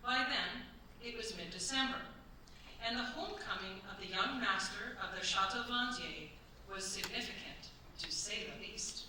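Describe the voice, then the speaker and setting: A woman narrating a staged radio play in a formal, measured, suspenseful style. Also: medium pitch. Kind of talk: dramatic scripted narration. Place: stage at a cultural centre. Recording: echoey stage, distant mic, faint noise.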